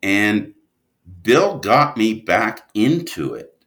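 A man speaking as an interview guest, a writer telling stories conversationally in an animated but halting manner. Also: fairly low pitch. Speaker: guest, audio drama writer and producer.

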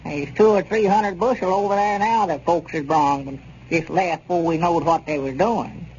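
A noisy old radio broadcast carries a high-pitched, fretful old storekeeper played by a man. He drawls in a folksy rural Southern accent and stubbornly defends himself, in 1930s radio comedy style. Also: normal speed, a little mellow, muffled recording, close to an everyday voice. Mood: fretful, defensive.

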